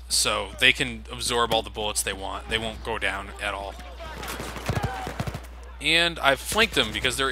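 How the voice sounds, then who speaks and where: A man's voice: on the low side, sharp tone. A man giving sarcastic, casual commentary over his own gameplay, swearing freely. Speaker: let's play commentator. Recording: game noise underneath.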